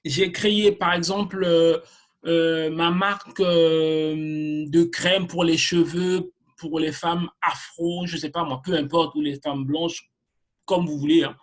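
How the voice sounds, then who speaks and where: A marketing trainer teaching a live online class at an unhurried, slow pace, in a voice of ordinary middle pitch. The delivery is halting, with sentences restarted and filled with pauses as each concept is laid out step by step. The speaker addresses the viewers directly, like a teacher in front of a class. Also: steady tone. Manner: hesitant, with frequent restarts and filler sounds.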